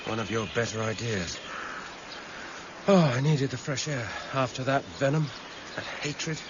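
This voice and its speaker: An actor playing a weary, measured senior police detective with quiet authority and an English accent, in a radio drama. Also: male voice, mid-range voice, close to an everyday voice. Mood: weary, reflective. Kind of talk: 1990s British radio drama acting. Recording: muffled, noisy old radio broadcast recording.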